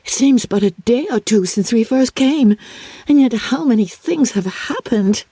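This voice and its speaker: A woman voicing an excitable, naive and chatty young woman who gushes with wonder in a dramatic audiobook reading. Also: on the high side, normal speed, breathy edge, no room sound, voice pushed up. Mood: amazed, excited.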